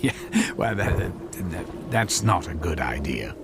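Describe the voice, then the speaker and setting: An actor playing an earnest, excitable middle-aged amateur scientist in a radio drama, explaining eagerly and stammering when flustered. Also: male voice, low voice, slow, heavily acted. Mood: pleading, flustered. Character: earnest, excitable amateur physicist husband obsessed with his experiment. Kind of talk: modern radio drama acting. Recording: music behind the dialogue.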